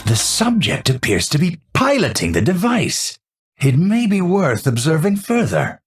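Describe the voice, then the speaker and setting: An actor playing an eccentric old male scientist in a video game, dreamy and unhinged, with a halting, theatrical, musing delivery. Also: on the high side, huge pitch swings, breathy edge, faint music behind, full character voice. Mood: curious.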